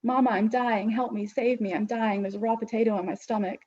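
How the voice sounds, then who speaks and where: A woman's voice: fairly high pitch, fairly quick, warm tone. A woman voicing a frightened little girl who pleads in panic not to die, on a dull lo-fi recording. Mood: panicked.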